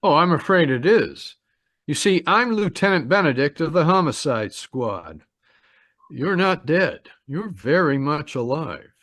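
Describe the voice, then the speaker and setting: A man's voice: fairly high pitch, animated, measured pace, a bit bright, no echo, studio quality. A man acting a stern, formal homicide lieutenant in an old-time radio drama. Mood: matter-of-fact, firm. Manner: formal, authoritative. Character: stern homicide lieutenant, masterminding a trick confession.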